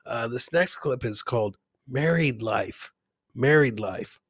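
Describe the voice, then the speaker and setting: A man's voice: mid-range voice, lively intonation, takes it slowly, fairly bright tone, husky, no echo, clean studio sound. A middle-aged man chatting and joking as he hosts live reactions to viral clips, often repeating his phrases. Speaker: comedy host.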